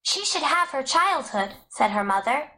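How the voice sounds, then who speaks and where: A woman narrator with a high voice reads scripted educational material aloud. She delivers it as prepared narration for learners. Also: very animated, normal speed, pushed and bright. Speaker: audiobook narrator.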